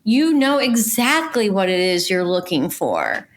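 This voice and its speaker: A woman's voice: medium pitch, very animated, measured pace. A middle-aged woman talking casually and conversationally as a guest casting director in an interview.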